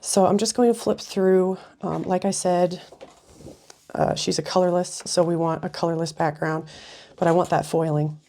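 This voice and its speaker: A woman hosting a casual craft tutorial, chatty with frequent ums, her voice slightly raspy and sniffly from a cold. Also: lowish voice, fairly even intonation, moderate pace, mellow. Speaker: craft video host.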